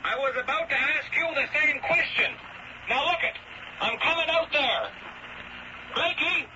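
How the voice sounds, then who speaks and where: Insistent plain-spoken seaman, radio play, very high voice through boat radio, clear noise. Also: unhurried. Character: stubborn, loyal seaman calling over boat radio. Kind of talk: old-time radio drama acting. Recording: dull noisy radio drama recording.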